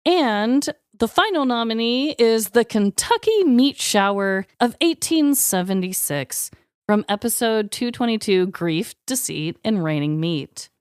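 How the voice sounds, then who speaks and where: Female podcast co-host, very lively intonation, emphatic and informal, swears freely, dry studio-tight sound. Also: fairly high pitch, unhurried, clear, resonant tone, dry recording. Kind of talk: casual chat.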